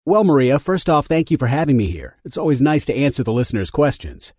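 A man acting as a spokesman in a scripted radio ad, with a pitchman's delivery. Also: big pitch swings, fast, a little mellow, no room sound, clean studio sound.

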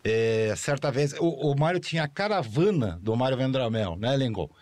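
An older man and former show producer, recounting stories in a rambling way with slow, low asides. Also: lively intonation, a little mellow, dry recording. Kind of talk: anecdotal storytelling.

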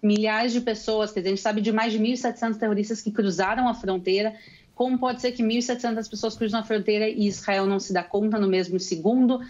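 A woman's voice: medium pitch, fairly even intonation, quick pace, darkish tone, dull audio. A young woman with a gaúcho Brazilian accent, earnestly explaining events as a guest over a remote call. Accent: gaúcho Brazilian Portuguese. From Rio Grande do Sul, Brazil. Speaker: guest commentator living in Israel. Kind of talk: call-in interview commentary.

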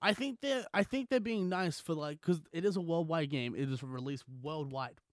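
A man's voice: on the high side, normal speed, dry recording, clean studio sound. A man co-hosting a podcast in casual, jokey chat, riffing on absurd ideas. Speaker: podcast co-host.

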